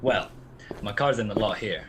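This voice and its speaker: A man playing a world-weary, sardonic private detective in a 1940s-style radio play, with a wry, curt, deadpan delivery. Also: fairly low pitch, moderate pace, noisy background, natural voice. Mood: dry, dismissive. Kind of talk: 1940s detective radio play acting with first-person narration.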